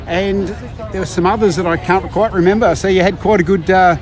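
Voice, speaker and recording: An upbeat man with a fairly high voice chats with runners outdoors at a park event. He keeps things light and jokey, and quickly asks his next question. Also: fairly even intonation. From Victoria, Australia. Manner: upbeat, chatty, quick to joke. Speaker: podcast host doing roving interviews. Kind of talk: casual roving interview.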